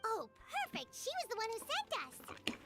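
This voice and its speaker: A woman voicing a tiny, excitable fairy companion in a video game, bubbly and referring to herself in the third person. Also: normal speed, loud music behind the voice, full character voice. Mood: chirpy. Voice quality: high squeaky childlike voice.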